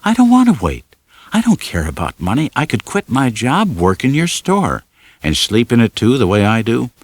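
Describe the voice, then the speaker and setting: Man voicing an anxious young woman, pitched up. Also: huge pitch swings, moderate pace, no room sound. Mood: impatient, pleading. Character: anxious, lovestruck woman of twenty-seven, pleading.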